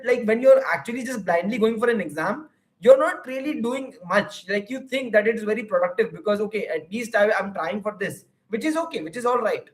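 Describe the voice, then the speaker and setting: A young Indian man with an Indian English accent, a YouTuber and counselor answering viewer questions on a livestream in an earnest, advice-giving way, with many fillers and restarts. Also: sits high.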